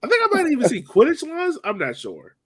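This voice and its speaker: A man's voice: very high-pitched, huge pitch swings, fast, a crowd in the background. A young man in casual sports chat as a podcast host, slangy and joking in his delivery.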